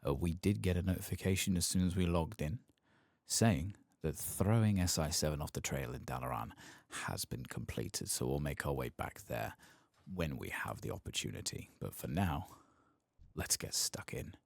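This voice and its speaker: A soft-spoken man doing ASMR commentary on a video game, sometimes dropping into a whisper, with a husky, breathy voice. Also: very deep voice, lively intonation, moderate pace, no echo. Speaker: game streamer narrating a playthrough.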